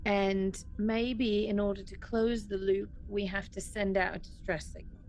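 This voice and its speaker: A woman's voice: medium pitch, little pitch movement, unhurried, a little mellow, a little hiss or hum. A woman playing a tabletop role-playing game, chatting casually and thinking aloud in a hesitant way. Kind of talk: casual, improvised game chat.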